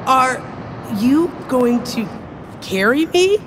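A woman's voice: high voice, big pitch swings, takes it slowly, noisy background, exaggerated voice. An actress playing a rescued female hostage in a video game, wary, incredulous and drily humorous. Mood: incredulous, wry.

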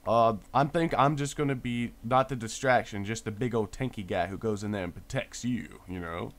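A man's voice: fairly low pitch, moderate pace. A young man hosting a gaming video, chatting and joking playfully with a friend while he plays. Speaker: gaming channel host. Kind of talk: casual gameplay banter.

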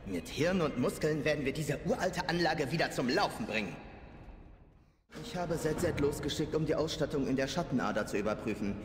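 A man's voice: fairly high pitch, expressive, fairly quick, a little hiss or hum, natural voice. An actor playing a keen, practical male engineer and adventurer ally in a fantasy video game. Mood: determined, upbeat. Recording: game music behind the voice.

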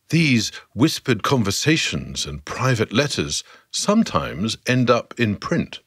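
A man narrating a scripted story for a podcast in a theatrical, measured way with dramatic pauses. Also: fairly low pitch, a bit bright, slightly rough, no room sound, studio quality.

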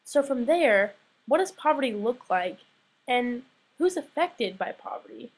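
A woman's voice: on the high side, very animated, measured pace, slight background noise, poor audio. A young woman reading an earnest, questioning documentary voice-over as a student filmmaker. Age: young adult. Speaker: student narrator.

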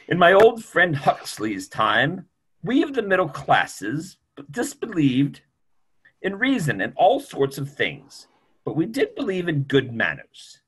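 A man's voice: medium pitch, a bit bright, slightly rough, exaggerated voice. A man in his sixties in a play reading, playing a genteel old doctor who reproves in a formal, declamatory way. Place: small room, video call.

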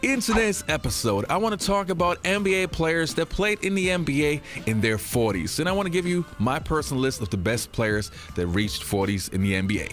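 A man with a lively, bouncing delivery narrates a scripted countdown. Music plays clearly behind him, like a produced video voice-over. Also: fairly high pitch, a little fast, hardly any pauses. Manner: animated, list-style presentation. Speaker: basketball history channel host. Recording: background music under voice.

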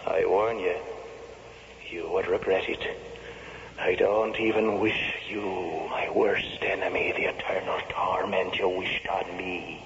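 Slow, echoing ghost of a sly man. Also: low voice, level tone, a bit bright. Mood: grave reproach. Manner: slow, deliberate, insinuating. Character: ghost of a slain Irishman, sly, reproachful tempter. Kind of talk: 1970s radio drama acting. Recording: echoing, noisy, muffled old radio broadcast.

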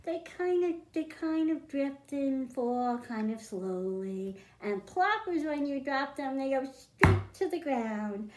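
Middle-aged lady doing kids storytime, kinda high voice, simple careful wording, echoey room. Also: sing-song, slight background noise. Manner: simple, deliberate phrasing addressed to children. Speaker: children's librarian, storytime host. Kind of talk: storytime reading and demonstration for young children. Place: small library room with bookshelves, indoors. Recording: roomy, echoing indoor sound.

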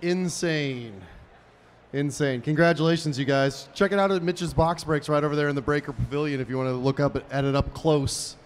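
A man's voice: a little high, normal speed. Upbeat man hosting a convention stage. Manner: upbeat, chatty, addressing the crowd. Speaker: stage host. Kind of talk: live event hosting. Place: large indoor convention hall stage. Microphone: handheld mic.

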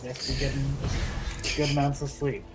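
A man's voice: medium pitch, flat delivery, moderate pace, poor audio. A man of thirty chatting casually on a gaming stream. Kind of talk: casual chat. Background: loud game music.

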